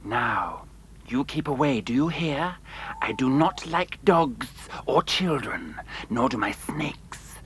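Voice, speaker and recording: An actor playing a menacing, unfriendly circus snake handler in an audio drama, speaking slowly and threateningly. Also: male voice, medium pitch, expressive, fairly bright tone, slight background noise. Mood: hostile, warning. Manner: slow, threatening.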